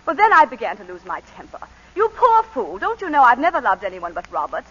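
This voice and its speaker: Old radio drama: a clipped, refined planter's wife, bright and expressive, muffled broadcast sound. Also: female voice, sits high, fairly quick, breathy edge, full character voice. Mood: agitated. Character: composed planter's wife recounting a killing. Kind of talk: 1940s radio acting.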